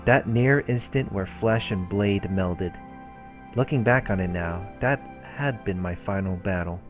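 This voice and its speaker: Male let's player, fairly low voice, reading story narration aloud, soft music underneath. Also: measured pace. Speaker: let's play host.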